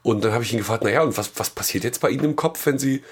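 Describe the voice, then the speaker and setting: A man's voice: mid-range voice, racing. A man with a cold, co-hosting a podcast and telling stories in casual chat with dry jokes. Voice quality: hoarse, gravelly. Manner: chatty storyteller, dry jokes. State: has a cold. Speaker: podcast co-host.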